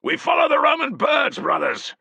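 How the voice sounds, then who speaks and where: A man's voice: medium pitch, animated, normal speed, pushed and bright, no room sound, voice pushed up. A man voicing a battle-hungry Roman centurion, bellowing rousing orders to his soldiers in an audiobook. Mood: shouting.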